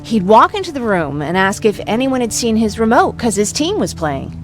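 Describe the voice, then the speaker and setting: A woman's voice: fairly high pitch, big pitch swings, moderate pace, over music. A woman playing a talkative, protective young war survivor in a video game, wry and self-deprecating in natural dialogue. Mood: chatty, fond.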